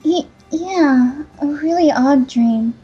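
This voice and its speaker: A woman voicing a nervous, dazed young princess in a fantasy game, tentative, soft and husky. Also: high voice, takes it slowly. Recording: dull lo-fi mic, music behind.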